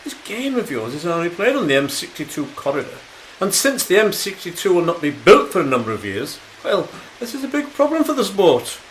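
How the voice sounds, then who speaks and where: Man acting a pushy television boss, highly animated. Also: brisk, slight background noise, rough recording. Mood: pressuring. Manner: exasperated, emphatic, threatening. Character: pushy, menacing male television sports executive. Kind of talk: radio play acting.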